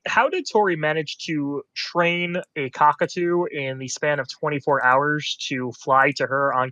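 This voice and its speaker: A man's voice: on the high side, pushed and bright, no echo. A man co-hosting a podcast, chatting casually in a wordy, mock-formal way about TV. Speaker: podcast co-host. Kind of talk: casual TV recap podcast chat.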